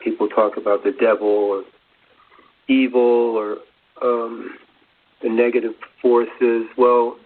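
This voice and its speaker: A man explains spiritual ideas off the cuff in a very even, slow voice. He is halting and often searches for words. The recording is dull, like low-fidelity radio. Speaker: co-presenter. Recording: dull lo-fi radio sound, faint noise.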